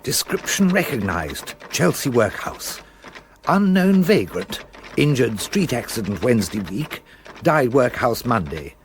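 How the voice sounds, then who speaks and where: An actor playing an investigator who gives a telegram-like report in clipped phrases in a radio drama. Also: male voice, mid-range voice, sing-song, unhurried, slight background noise. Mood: clipped, official.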